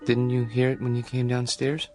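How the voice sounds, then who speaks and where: A man's voice: medium pitch, monotone, normal speed, darkish tone, faint music behind, natural voice. An actor playing a timid, earnest male loner in a radio drama, polite, hesitant and prone to stammering. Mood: flustered, earnest.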